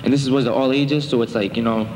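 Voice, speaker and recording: A man's voice: mid-range voice, fairly even intonation, fast, darkish tone. A young man speaking as a defendant in court, rambling and defensive, with many fillers. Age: young adult. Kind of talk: testimony in court. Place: municipal courtroom. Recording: echoey courtroom, faint noise.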